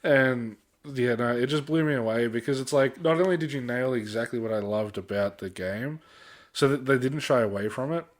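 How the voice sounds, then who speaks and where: A man's voice: medium pitch, moderate pace. A man with an Australian accent co-hosting a podcast, chatting casually in a blokey, informal way with some mild swearing. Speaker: podcast co-host. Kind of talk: casual pop-culture podcast chat.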